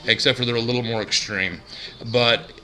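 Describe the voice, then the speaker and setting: Monotone man with frequent uhs. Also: normal speed, poor audio. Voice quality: bassy. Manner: monotone, droning delivery with many fillers. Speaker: couponing YouTuber. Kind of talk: casual vlog shout-outs.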